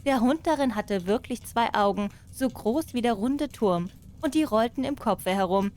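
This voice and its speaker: A female audiobook narrator. She has a fairly high voice and tells a fairy tale in an expressive storytelling style. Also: moderate pace.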